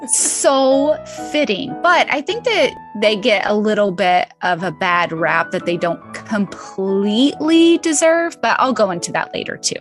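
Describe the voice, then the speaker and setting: A woman around her thirties or forties co-hosting a podcast in a casual, informal and expressive way. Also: medium pitch, unhurried, hardly any pauses, soft background music. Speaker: podcast co-host. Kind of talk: casual chat.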